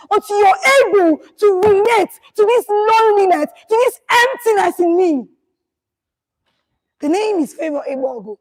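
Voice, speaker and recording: A woman acting out a grieving woman lawyer who has turned vengeful after her baby was killed. The voice is very high and the delivery is slow and deliberate. She swings between tearful shouting and defiant vows in a stage monologue. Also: poor audio. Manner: impassioned, shouting through tears, defiant. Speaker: monologue performer. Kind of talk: dramatic monologue.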